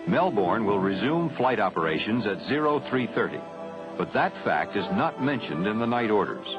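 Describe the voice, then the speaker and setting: A man reading scripted training film narration in a formal, measured, matter-of-fact way. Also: lowish voice, sing-song, music in the background. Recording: dull 1970s film soundtrack.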